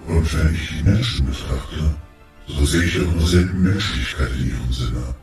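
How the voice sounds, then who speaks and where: A man voicing a big, loyal talking tiger in a radio play, with a very deep, distant voice. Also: expressive, normal speed, darkish tone, soft background music. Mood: calm. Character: huge talking male tiger, calm and loyal. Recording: echoey and far from mic.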